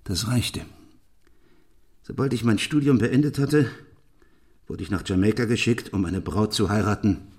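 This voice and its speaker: An actor in a German radio play playing a bitter, brooding middle-aged English gentleman who confesses his past in an intense, deliberate way. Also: on the low side, lightly acted. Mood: bitter, agitated. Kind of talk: German radio play acting, dramatic monologue.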